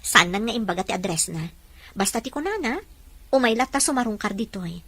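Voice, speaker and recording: A woman's voice: mid-range voice, expressive, a little fast, pushed and bright, no room sound. An actress voicing a commanding woman who interrogates her maid in a probing tone. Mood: firm. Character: sharp-tongued mistress questioning a maid.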